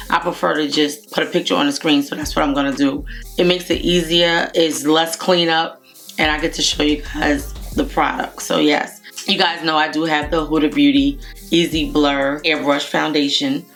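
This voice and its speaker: Low-voiced hoarse woman chatting beauty. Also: fairly even intonation, normal speed, faint music behind. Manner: chatty, rambling, warm greetings to viewers. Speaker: beauty vlogger. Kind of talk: casual product review to camera. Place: home room with makeup shelves, indoors. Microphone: camera at desk distance, framed head and shoulders.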